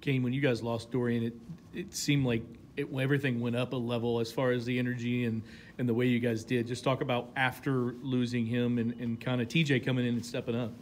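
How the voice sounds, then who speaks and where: A man's voice: mid-range voice, flat delivery, fairly quick, slight background noise. A man working as a sports reporter, asking questions at a press conference.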